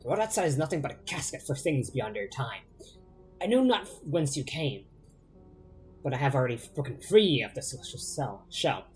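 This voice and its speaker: A man voicing a grandiose, vengeful spirit that guards a ruined bunker, reading its lines in a slow, theatrical, declamatory way. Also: medium pitch, big pitch swings, faint music behind, natural voice.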